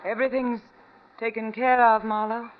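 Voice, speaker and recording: A woman playing a composed, resigned murderess in a 1940s radio detective drama, speaking in a measured, deliberate way. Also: on the high side, flat delivery, natural voice. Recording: noisy old radio recording, muffled.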